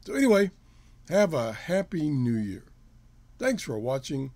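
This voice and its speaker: A man's voice: a little high, sing-song, unhurried, a little mellow, no echo. A middle-aged man hosting his home radio show, casually talking through test results with fillers and self-corrections. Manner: plain-spoken, rambling with fillers and self-corrections. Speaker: radio show host reviewing gear. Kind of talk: casual product review talk.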